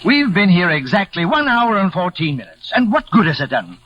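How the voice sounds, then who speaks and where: Grumpy impatient stepdad, 1940s radio drama, high voice that jumps around a lot. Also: male voice, sing-song, brisk. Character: impatient, unsympathetic middle-aged stepfather, pragmatic and blustery. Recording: muffled vintage 1940s radio recording.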